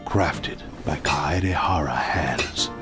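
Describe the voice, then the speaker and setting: An actor playing the proud, dying spirit of a cursed blade in a fantasy game, speaking with grave, archaic formality. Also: male voice, a bass voice, slow. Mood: grave, resigned. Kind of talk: dramatic game dialogue. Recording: loud music under the voice.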